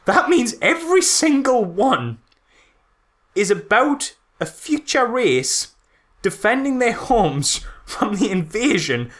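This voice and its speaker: A man's voice: sits high, sing-song, slow, fairly bright tone. A man co-hosting a casual comedic film critique, rambling and incredulous as he talks. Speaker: podcast co-host.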